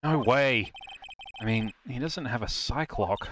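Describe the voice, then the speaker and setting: A man voicing an earnest young male defense attorney in a live fan dub of a game, dry and quick. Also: mid-range voice, fairly bright tone, a little hiss or hum, lightly acted.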